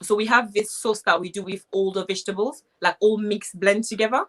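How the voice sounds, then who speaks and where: A woman's voice: mid-range voice, fairly even intonation, a little fast, a bit bright. A young woman from Haiti with a strong Haitian accent, a guest speaking reflectively in a casual interview over a video call. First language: Haitian Creole.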